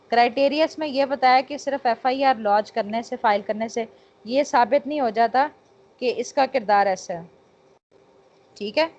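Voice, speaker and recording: High-voiced Pakistani woman lecturing, lo-fi recording. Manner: instructional, mixes in English legal terms. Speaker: law lecturer. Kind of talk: exam-prep lecture, explaining point by point.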